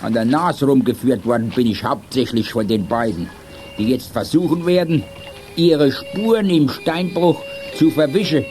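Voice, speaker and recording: An actor playing a gullible, good-natured old grandpa in a children's radio play, grumbling in a folksy, expressive way. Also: male voice, mid-range voice, moderate pace, a little hiss or hum, lightly acted. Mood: rueful, understanding. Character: gullible old grandpa, gruff but good-natured, admits being fooled. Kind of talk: 1980s German children's radio play acting.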